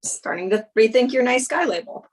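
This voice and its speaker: A woman playing a frank twenty-seven-year-old girlfriend in a screenplay table read, speaking in a dry, deadpan way. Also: on the high side, normal speed. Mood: wry, careful.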